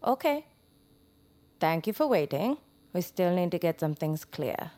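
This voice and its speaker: A woman acting a composed, doubting government interrogator in an audio drama, deliberate, controlled and precise. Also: on the low side, sing-song, warm tone.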